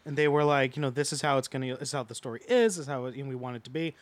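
A man's voice: mid-range voice, rapid-fire, no echo. A man giving his opinions as a podcast panelist in a casual, conversational review.

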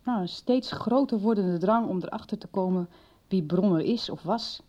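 A woman's voice: mid-range voice, moderate pace, warm tone, lightly acted. A woman acting a frank, concerned confidante in a Dutch radio soap. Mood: concerned, hesitant. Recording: dull 1990s radio broadcast recording.

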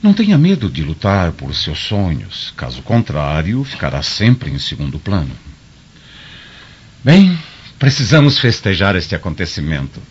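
A man acting in a radio drama as a stern, proud Roman senator and father, with an authoritative, measured delivery. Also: low voice, wildly swooping pitch, slightly rough, exaggerated voice. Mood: proud, fatherly. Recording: dull lo-fi radio drama recording.

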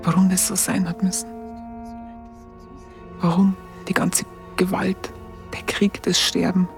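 An actress playing a tender, simple-hearted woman with a Bavarian accent, remembering in halting, colloquial half-whispers in a radio drama. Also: on the low side, flat delivery, vocal fry. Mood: tender, moved. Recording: music under the voice.

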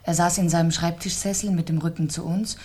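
A woman's voice: lowish voice, steady tone, fairly quick, darkish tone, husky. A woman playing a cool, guarded, newly widowed wife in a German radio crime drama, answering curtly and precisely. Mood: controlled. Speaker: actor in a radio crime play.

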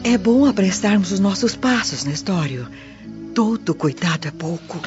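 A woman playing a gentle, cautious and apprehensive Roman lady in a radio drama. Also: mid-range voice, animated, moderate pace, over music, close to an everyday voice. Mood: cautious, confiding. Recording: dull lo-fi audio.

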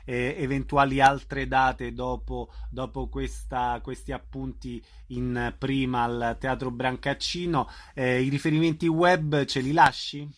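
A man's voice: mid-range voice, flat delivery, a little slow, few pauses. A man working as a radio interviewer, asking questions in a friendly, informal style.

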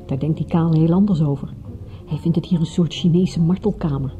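A muffled old radio play features a woman acting as a detective's practical female companion. Her voice sits a little low and is very dark and mellow. She talks in a dry, colloquial way with a teasing edge. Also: fairly quick, soft background music, natural voice. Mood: dryly teasing. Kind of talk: radio drama dialogue.

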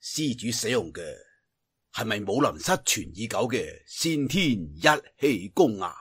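Man voicing a solemn, low-voiced Shaolin monk. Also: big pitch swings, breathy edge, full character voice. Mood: grave. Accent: Cantonese. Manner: solemn, formal, measured. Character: grave, courteous senior Shaolin monk guarding his temple. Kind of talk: storybook character voice.

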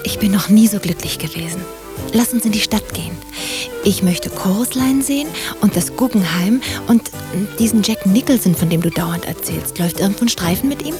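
A woman's voice: mid-range voice, expressive, normal speed, over music, close to an everyday voice. A woman playing a romantic, demanding, impulsive mistress in a German radio drama. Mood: blissful, eager. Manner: impulsive, petulant.

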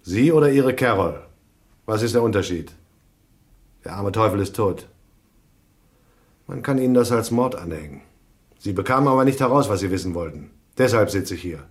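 A man's voice: fairly low pitch, animated, moderate pace, long pauses, husky. An actor playing a hardboiled, laconic private detective in a radio drama, narrating his case tersely and dryly. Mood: tough, probing. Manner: terse, dry, unimpressed.

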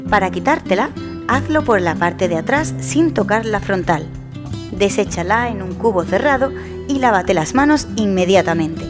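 Lady announcer reading a health notice, steady and instructive, guitar music clearly under her. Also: medium pitch. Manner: measured, instructive. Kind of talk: scripted public service announcement.

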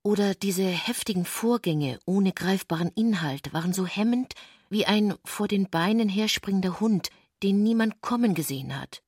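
A woman reading literary narration for a radio play, composed and deliberate in her phrasing. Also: medium pitch, lively intonation, darkish tone, breathy edge, no room sound, clean studio sound.

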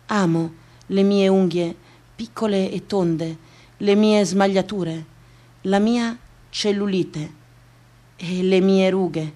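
A woman performing a theatrical radio monologue as a tender, self-loving woman, speaking deliberately with incantatory repetition. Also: mid-range voice, mellow, natural voice. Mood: tender, self-accepting. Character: tender woman lovingly listing her own body parts.

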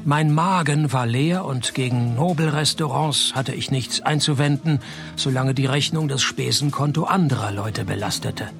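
A gravelly, private detective acted by a man in a radio play. He is jaded and wisecracking, delivering everything deadpan at a middling pitch, with music in the mix. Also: normal speed, natural voice. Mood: dry narration. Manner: dry, deadpan, sardonic. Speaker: detective narrator and lead. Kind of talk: radio play narration and dialogue.

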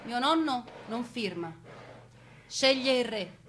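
A woman giving a theatrical scripted narration as a stage storyteller, with dramatic, deliberate phrasing. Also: fairly high pitch, expressive, a bit bright, a little hiss or hum.

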